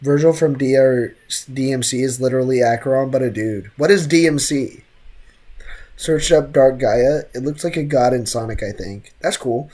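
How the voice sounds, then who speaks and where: A streamer commentating casually on a game in a medium-pitched voice. The talk is slangy and full of joking asides, moving between the game and the chat. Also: normal speed, dark tone.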